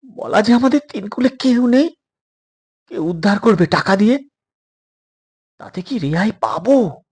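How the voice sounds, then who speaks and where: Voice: medium pitch, lively intonation, unhurried, darkish tone, breathy edge, pitched above the performer's own voice. A storyteller voicing a brave but worried captive boy, speaking with uneasy urgency in an audio story. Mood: worried, reasoning. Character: brave, worried adolescent boys held captive, reasoning together. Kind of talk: dramatized audio story dialogue.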